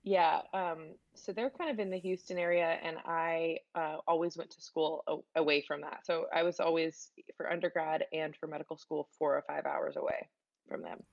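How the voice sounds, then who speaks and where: A woman's voice: deep voice, steady tone, moderate pace, creaky, lo-fi sound. A young woman from Texas, a medical resident, answering interview questions in a friendly way with frequent laughs and fillers. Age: young adult. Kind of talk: casual interview.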